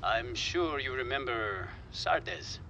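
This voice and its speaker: A man's voice: mid-range voice, animated, slow, sharp tone, a little hiss or hum, exaggerated voice. An actor playing a confident, persuasive male football club recruiter in a video game, talking directly. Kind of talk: cinematic video game acting.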